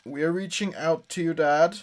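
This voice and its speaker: An actor playing a grieving, desperate son leading a séance, with solemn, halting, incantatory delivery in a horror audio drama. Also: male voice, fairly high pitch, close to an everyday voice. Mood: solemn, intense.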